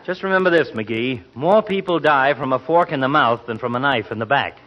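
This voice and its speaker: An actor playing a wry, pompous family doctor with a dry, sententious manner in a 1940s radio comedy. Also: male voice, mid-range voice, lively intonation, fairly quick, noisy background, lightly acted. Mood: dryly scolding. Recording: muffled 1940s radio broadcast.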